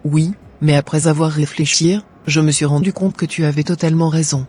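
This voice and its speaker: Man voicing a husky young woman. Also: a little high, flat delivery, a little fast, darkish tone. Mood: chatty. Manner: earnest, plaintive, then grateful and pious.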